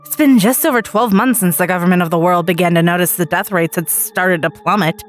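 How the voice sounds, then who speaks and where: A woman's voice: fairly low pitch, brisk, faint music behind. A woman reading a first-person story aloud as a dramatic narrator.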